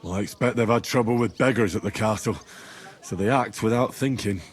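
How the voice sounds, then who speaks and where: Earnest young squire, husky voice. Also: male voice, on the low side, a little fast. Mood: reasoning. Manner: earnest, reassuring. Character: earnest, level-headed young medieval squire, loyal and hopeful. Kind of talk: video game cutscene acting.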